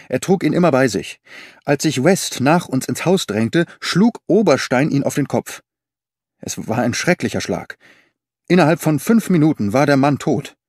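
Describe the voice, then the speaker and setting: Male audiobook narrator, measured storytelling, mid pitch, dry studio read. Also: darkish tone, no room sound. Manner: measured storytelling reading, shifting tone for quoted characters. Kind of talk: scripted audiobook narration with voiced dialogue.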